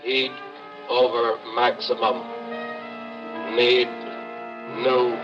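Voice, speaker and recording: An actor playing a cold, emotionless robot in a 1960s radio drama, giving clipped, halting commands in a monotone. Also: male voice, mid-range voice. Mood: cold, mechanical. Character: cold robot laboratory machine Max, male, emotionless. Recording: dull old radio broadcast, loud music.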